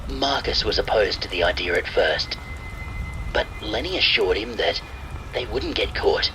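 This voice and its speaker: A man's voice: on the low side, animated, measured pace, over music, poor audio. A man reading scripted narration of a spooky story, with rain ambience.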